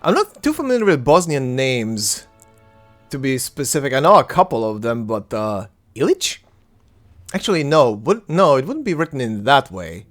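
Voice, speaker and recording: Excitable man narrating a game. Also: medium pitch, normal speed, soft background music. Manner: excitable, laughs often. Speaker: let's play host. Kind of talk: casual gameplay commentary, reading the narration.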